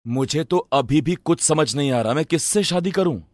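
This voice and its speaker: A man playing a dutiful, thoughtful young son in an animated moral story, speaking earnestly and respectfully. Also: on the high side, normal speed, no room sound, studio quality, lightly acted. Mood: puzzled.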